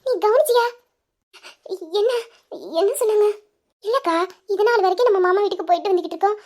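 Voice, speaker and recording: A woman's voice: very high voice, fast, darkish tone. A woman voicing a caring younger sister who coaxes and comforts her older sibling. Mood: comforting. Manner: soothing, coaxing. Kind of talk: dramatized audio story.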